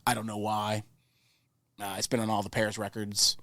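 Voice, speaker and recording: A man's voice: lowish voice, monotone, normal speed, fairly bright tone, dry recording. A man from New Orleans chatting casually as an interview guest, a recording engineer who rambles with enthusiasm and swears freely. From New Orleans, Louisiana.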